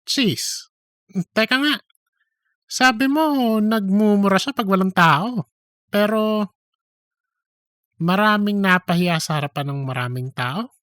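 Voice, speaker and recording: A man's voice: sits high, animated, takes it slowly, pauses often, fairly bright tone, no room sound, clean studio sound, heavily acted. A man playing a puzzled, skeptical male office interviewer in a comedic audiobook, questioning and a little exasperated.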